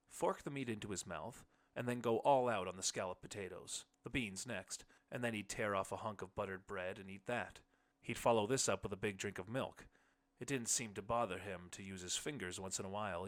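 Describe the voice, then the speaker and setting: A man narrating a literary short story in the first person, with measured phrasing. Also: fairly low pitch, a bit bright. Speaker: audiobook narrator.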